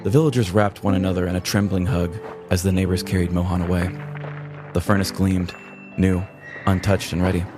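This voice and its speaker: A man's voice: deep voice, level tone, a little fast. A man narrating a scripted comedic story. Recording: music bed under the voice.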